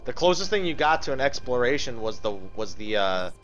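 A man's voice: medium pitch, sing-song, fairly quick, a bit bright, lo-fi sound. A man giving casual live video game commentary, thinking aloud and swearing as he chats with viewers. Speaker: livestream host playing a video game. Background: game music.

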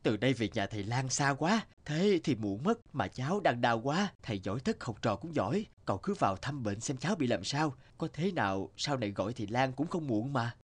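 A man's voice: medium pitch, sing-song, brisk, hardly any pauses. A man voicing an anxious, flustered village father in a folk-tale cartoon, pleading in a worried way. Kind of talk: animated folk tale dubbing. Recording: music under the voice.

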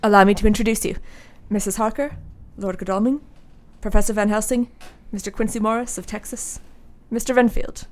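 A woman's voice: mid-range voice, fairly even intonation, a little fast, hardly any pauses, a little mellow, a little hiss or hum, lightly acted. A woman playing a firm, businesslike asylum doctor in a radio play. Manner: clinical, businesslike.

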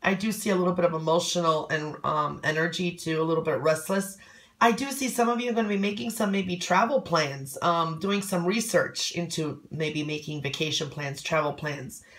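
Hoarse low-voiced woman reading coffee grounds. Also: normal speed, fairly bright tone. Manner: loose and conversational, many "um"s and "okay?"s. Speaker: coffee cup reader. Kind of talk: casual fortune reading.

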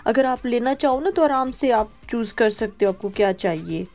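A woman's voice: a little high, lively intonation, moderate pace. A woman from Pakistan casually narrating a grocery shopping vlog as she walks the aisles, pointing out items to viewers. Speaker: vlogger. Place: supermarket aisles and streets in the UK.

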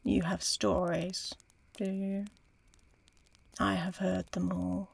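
A woman voicing an aloof, curious, teasing female dragon in a fantasy ASMR roleplay, breathy and often whispering, slow and musing. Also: on the low side, little pitch movement, full character voice. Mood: haughty, probing. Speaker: audio roleplay performer.

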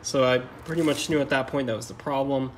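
A man's voice: mid-range voice, fairly even intonation, a little fast, slight background noise. A man giving a casual hands-on repair explanation in a hesitant, matter-of-fact way. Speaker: car repair vlogger.

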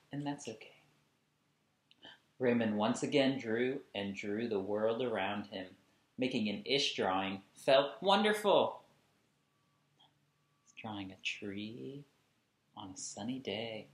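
A man in his thirties reading a picture book to young children expressively, with emphatic, deliberate delivery and explanatory asides. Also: on the low side. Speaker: children's read-along host. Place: small indoor room at home. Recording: echoey room, camera at a distance.

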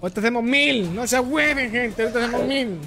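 A young Guatemalan man with a Guatemalan Spanish accent, streaming a game and chatting casually with loud, excited outbursts. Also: extremely high pitch, quick pace, vocal fry, faint music behind. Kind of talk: casual gaming chat.

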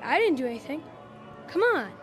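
A woman's voice: high-pitched, big pitch swings, brisk, over music. A woman playing a loving, earnest young boy in a radio drama, with a simple childlike way of talking. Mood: eager.

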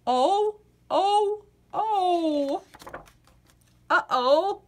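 A woman reading a children's picture book aloud, playful and expressive, acting out the yawns as she goes. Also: very high-pitched, drawn-out words.

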